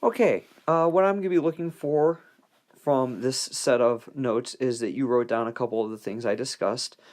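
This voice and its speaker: A man's voice: medium pitch, normal speed, a little mellow. A man teaching biology in an informal recorded lecture, talking in a conversational, folksy way with joking asides.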